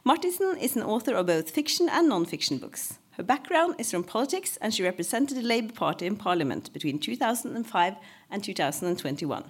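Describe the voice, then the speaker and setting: A woman's voice: mid-range voice, big pitch swings, fairly quick, husky. A woman giving a formal, scripted welcome and introduction as an event host.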